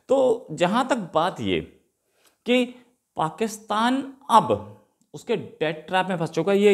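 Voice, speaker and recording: A man with an Indian accent giving unscripted, opinionated news commentary as a host, in an argumentative way full of rhetorical questions. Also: a little high. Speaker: host commenting on current affairs.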